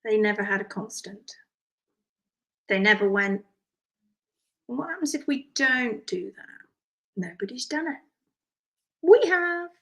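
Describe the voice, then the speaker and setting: Croaky, hoarse woman hoof care instructor. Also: fairly high pitch. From United Kingdom. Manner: animated and emphatic, quick asides. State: hoarse from strained vocal cords. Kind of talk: live session chat, reading viewer comments.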